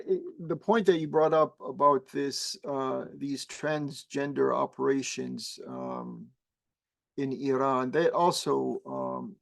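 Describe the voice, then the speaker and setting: A man whose first language is Arabic, a guest imam explaining religious teaching slowly and thoughtfully, pausing often with fillers. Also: mid-range voice, creaky. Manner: measured, halting with many fillers.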